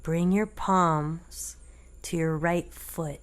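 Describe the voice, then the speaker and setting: Slow, low-toned woman guiding meditation. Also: steady tone. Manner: gentle, deliberate, with long pauses between short phrases. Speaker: meditation guide. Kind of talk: guided meditation.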